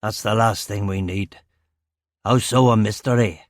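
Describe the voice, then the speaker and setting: Guy doing a burly older glasgow police boss, gravelly n commanding, lowish voice, angry but protective, audiobook, dry sound. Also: steady tone, unhurried, dry recording, lightly acted. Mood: curt, commanding. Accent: Glaswegian. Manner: blunt, authoritative. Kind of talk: audiobook character dialogue.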